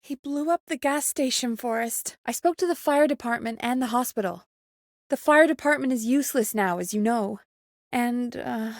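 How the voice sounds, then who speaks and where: An actress playing an earnest, wry woman who produces a radio show in a video game, speaking in an expressive, conversational way. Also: fairly high pitch, no room sound, studio quality, natural voice. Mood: grave, shaken.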